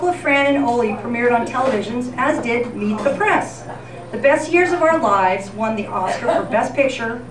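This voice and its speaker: A woman presenting a scripted, comic tribute in a radio newscast format, with a theatrical way of reading. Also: on the high side. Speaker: event presenter. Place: live event in a senior center room. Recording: echoey, noisy room recorded from far off.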